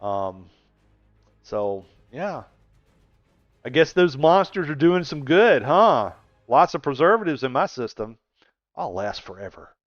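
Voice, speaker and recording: A man's voice: fairly high pitch, very animated, slow, pauses often, no room sound, soft background music. A man in his sixties chatting casually on a gaming live stream, rambling and laughing often as he answers viewers. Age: 60s. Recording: dull lo-fi stream audio.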